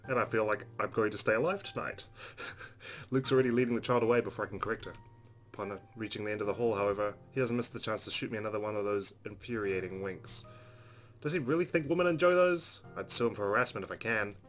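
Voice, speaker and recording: Fast-talking man reading game narration. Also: on the low side, fairly bright tone. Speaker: let's play host. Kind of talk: reading game narration aloud with casual commentary.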